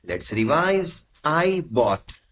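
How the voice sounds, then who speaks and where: A man teaching as a language tutor, reading short prompts in slow, measured phrases. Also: on the high side, big pitch swings, fairly bright tone, slight background noise. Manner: measured, carefully spaced phrases. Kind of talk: slow, deliberate lesson prompts.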